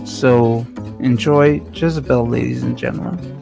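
A man hosts in a casual, chatty style with a creaky, gravelly voice. He takes his time, and guitar music plays clearly behind him. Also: medium pitch, steady tone, measured pace. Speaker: host. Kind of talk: casual radio show chat.

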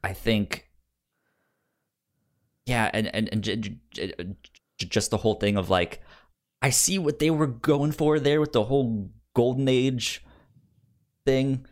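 A man co-hosting a podcast in casual movie debate, halting and often stuttering on repeated syllables. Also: mid-range voice. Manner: halting, circling delivery. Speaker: podcast co-host.

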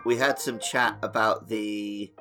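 A man's voice: lowish voice, steady tone, slow pace, music in the background. A man hosting a podcast, chatting casually about video games. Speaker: podcast host.

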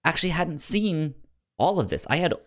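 A man co-hosting a podcast in casual chat, halting and often stammering over repeated words. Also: on the high side, big pitch swings, a bit bright. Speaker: podcast co-host.

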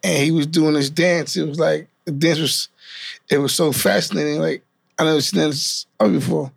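A man's voice: a little high, fairly even intonation, normal speed, gravelly, breathy edge, no room sound, clean studio sound. A man from New York with Guyanese family, a dancer telling his story in easygoing, loose casual chat. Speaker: dancer, guest.

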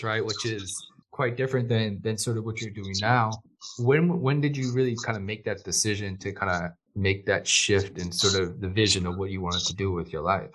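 A man with a lowish voice hosts a podcast, easygoing and chatty. He asks long, loose questions, hedging and restarting as he goes. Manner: relaxed, friendly, stumbling and hedging. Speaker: podcast host. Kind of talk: casual interview chat.

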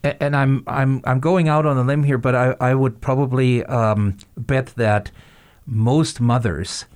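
A man's voice: mid-range voice, fairly even intonation, a little slow, a little mellow, clean tone. A man hosting a podcast interview, speaking in a thoughtful way and often searching for his words. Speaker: host.

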